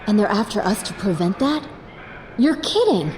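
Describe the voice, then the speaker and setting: A woman's voice: mid-range voice, sing-song, moderate pace, darkish tone, slight background noise, natural voice. A woman playing a hot-headed, indignant young military pilot in a game cutscene. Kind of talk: dubbed game cutscene drama.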